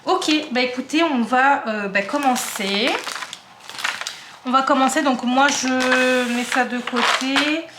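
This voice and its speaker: A woman's voice: sits high, slow pace, roomy sound, background noise. A woman in her twenties from the Paris suburbs, chatting casually and rambling while she sorts her craft supplies at home. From Paris suburbs, France. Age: 20s. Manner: chatty, rambling, self-interrupting. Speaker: craft vlogger.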